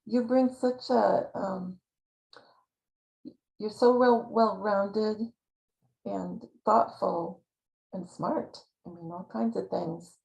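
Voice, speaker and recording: A woman hosting a casual video call chat, warm, encouraging and chatty with her guest. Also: medium pitch, steady tone, extremely slow, creaky, pauses often, low-quality recording. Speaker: host.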